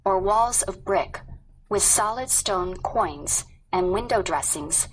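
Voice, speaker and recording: A woman reading a scripted textbook narration in a formal, measured manner. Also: medium pitch, expressive, crowd noise, rough recording.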